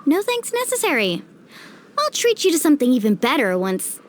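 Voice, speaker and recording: A woman's voice: sits high, huge pitch swings, moderate pace, background noise. A woman playing a confident, friendly, teasing young athlete in a video game, breezy and encouraging. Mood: cheerful.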